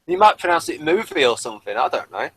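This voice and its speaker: A man's voice: a little high, huge pitch swings, quick pace, vocal fry, a bit bright, husky. A man chatting casually as co-host of a review show.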